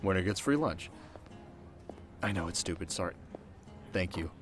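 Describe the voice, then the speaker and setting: A man playing a cocky but soft-hearted teenage delinquent boy in an ASMR roleplay, talking straight to the listener in casual slang. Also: sits low, animated, normal speed, soft background music. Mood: sheepish, sincere. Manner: slangy, casual, stumbling when flustered.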